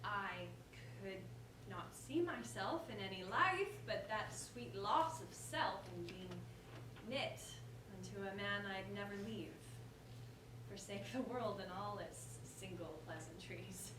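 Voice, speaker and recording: A woman's voice: medium pitch, expressive, slow pace. A woman playing a proud, witty common woman in a staged verse comedy, with emphatic theatrical delivery. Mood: earnest. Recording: roomy hall, distant mic, clear noise.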